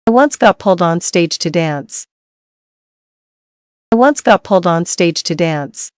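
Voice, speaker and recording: A woman reads short English dialogue lines for learners, each one twice, slow and distinct. Her voice sits low and sounds smooth and resonant. The recording is close and dry. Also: expressive, no room sound. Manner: slow, clear, each line read twice. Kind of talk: scripted dialogue reading for learners.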